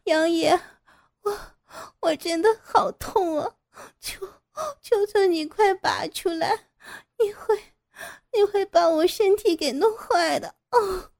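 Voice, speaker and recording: Woman doing a teacher lady crying and begging, super high squeaky voice, slow and gravelly. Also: a little mellow, exaggerated voice. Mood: pleading, sobbing. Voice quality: high, tearful, creaky cries. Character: frightened adult woman teacher, sobbing and pleading. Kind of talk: audio drama reading.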